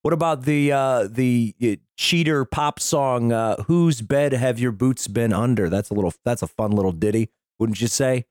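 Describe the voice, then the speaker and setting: A middle-aged man with a hoarse voice, casually bantering and swearing as a podcast host. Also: medium pitch, normal speed, few pauses, a little mellow, no echo.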